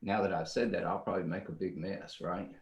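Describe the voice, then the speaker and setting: A man's voice: low-pitched, fairly even intonation, a little fast, gravelly. A middle-aged man giving a casual, rambling drawing demonstration for children, often checking in with the viewer.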